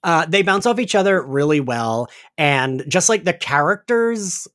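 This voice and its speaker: A man's voice: a little high, expressive, unhurried, a bit bright, no room sound. Informal, conciliatory man with close, dry sound. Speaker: podcast host. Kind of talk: casual chat.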